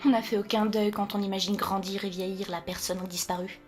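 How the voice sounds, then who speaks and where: A woman plays a dead younger sister, imagined grown up, in an audio drama. She is knowing and poised, with measured phrasing, over light music. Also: mid-range voice, level tone, lightly acted. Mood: teasing.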